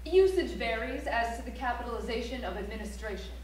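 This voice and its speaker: A woman's voice: on the high side, expressive, a little fast, a little mellow, a little hiss or hum, close to an everyday voice. An actress playing a prim, instructive woman reciting style manual rules in a stage play in an auditorium. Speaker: stage actor. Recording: echoey auditorium from far off, background ambience.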